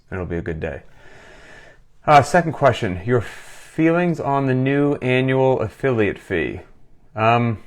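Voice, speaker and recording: A man with a cold, hosting a casual live question-and-answer session as a fitness coach, talking with enthusiasm. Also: lowish voice. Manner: enthusiastic, conversational, reads questions aloud. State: getting over a cold, sucking a cough drop. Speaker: gym owner and coach hosting a Q&A. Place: recorded for a live stream.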